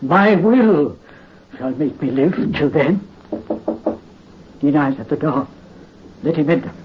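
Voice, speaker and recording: An actor playing a dying French count consumed by vengeance, weak yet commanding. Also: male voice, on the high side, lively intonation, a little slow. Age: 50s. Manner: weak but commanding, imperious. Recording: dull, faintly hissy 1930s radio recording.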